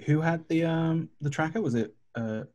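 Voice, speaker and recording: A man's voice: fairly high pitch, moderate pace, darkish tone. A man chatting casually and asking questions as a player in a tabletop game on a video call. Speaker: tabletop role-playing player.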